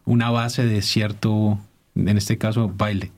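A man's voice: on the low side, flat delivery, slow, darkish tone. A man speaking as an interview guest and instructor, explaining casually and often searching for words.

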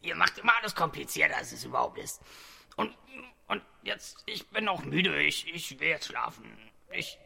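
A man voicing an ancient, grumbling stone in a children's audio play, raspy and halting, with sighs in his speech. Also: a little high, sing-song, pushed and bright, exaggerated voice. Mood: weary, grumbling. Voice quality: gravelly, raspy. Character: ancient furrowed talking stone, weary and grumbling. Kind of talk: children's audio drama acting.

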